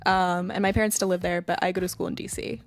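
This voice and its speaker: A woman's voice: fairly low pitch, flat delivery, fast talker. A young American woman from Los Angeles and Houston, an exchange student, chatting casually. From Los Angeles and Houston, USA. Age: young adult. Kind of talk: casual chat.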